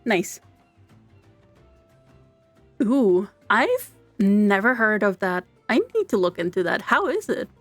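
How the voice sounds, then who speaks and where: A woman's voice: medium pitch, big pitch swings, dry recording. A young woman from Austria with an Austrian German accent, German native, chatting casually and giggly on a game stream. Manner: chatty, giggly, halting with restarts. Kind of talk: casual live-stream chat. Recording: dry close mic, quiet game music.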